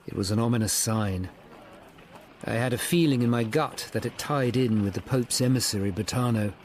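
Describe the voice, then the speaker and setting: An actor as an earnest English Tudor detective, ambient hiss. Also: male voice, medium pitch, close to an everyday voice. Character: male hunchbacked Tudor lawyer-detective, earnest and dutiful, narrating his case. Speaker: narrator and lead character. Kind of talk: radio drama acting and first-person narration.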